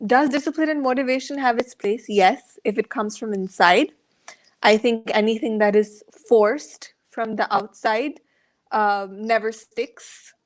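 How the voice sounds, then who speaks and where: A woman from India giving thoughtful, articulate answers as a guest on an online panel, with rhetorical questions and the odd "right?". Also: fairly high pitch. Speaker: panel guest speaking as a learner. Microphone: online video call.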